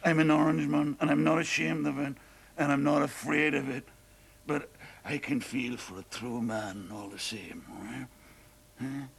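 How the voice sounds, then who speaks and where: An actor playing a drunken, boastful Irish lodger who brags, laughs and shouts in an Irish accent. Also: male voice, mid-range voice, steady tone, moderate pace, vocal fry, close to an everyday voice. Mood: maudlin confiding.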